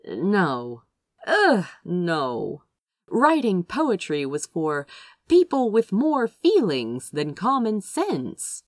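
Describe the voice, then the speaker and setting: Animated woman reading fiction, strongly varied intonation. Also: medium pitch, very slow, no echo, clean studio sound. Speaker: fan fiction reader. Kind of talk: expressive scripted narration of a fantasy story.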